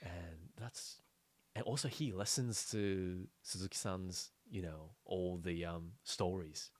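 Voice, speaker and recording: A man with a low voice, co-hosting a podcast in a dry, close recording. He chats casually in halting bursts, self-deprecating and quick to repeat his agreement. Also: a little mellow, dry recording. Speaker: podcast co-host. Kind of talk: casual chat about manga.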